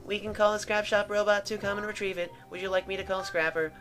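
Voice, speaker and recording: A man voicing a calm, formal female sword spirit companion in a video game, speaking in a polite, measured way. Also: sits high, music in the background, pitched above the performer's own voice, natural voice.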